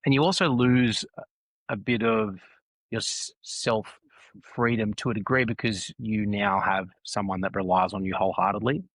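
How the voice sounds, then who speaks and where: Low-voiced Australian dad, thoughtful. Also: male voice, steady tone, moderate pace, a bit bright, no room sound. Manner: thoughtful, restarts phrases often, repeats points for emphasis. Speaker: podcast guest, husband and dad. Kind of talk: casual reflective chat.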